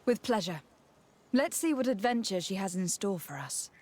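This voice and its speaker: A woman's voice: mid-range voice, lively intonation, normal speed, a little mellow, lightly acted. An actress playing a courteous, caring noblewoman emissary in a video game, polite and composed in formal phrasing. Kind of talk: video game dialogue acting.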